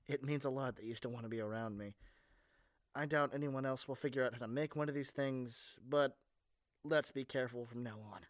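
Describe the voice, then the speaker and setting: A man's voice: mid-range voice, no room sound, studio quality, natural voice. A man playing a caring but cocky young superhero in an audio roleplay, speaking in an earnest, casual, teasing way. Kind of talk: amateur ASMR audio roleplay.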